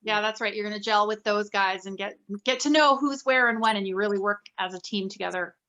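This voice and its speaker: A woman's voice: medium pitch, a little fast, sharp tone. A woman interviewing a guest in a casual, conversational way on slightly lo-fi audio. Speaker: interviewer, co-host.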